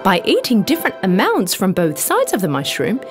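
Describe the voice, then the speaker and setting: A woman reading a scripted bedtime story for children in an expressive, deliberate storytelling manner. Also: medium pitch. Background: background music.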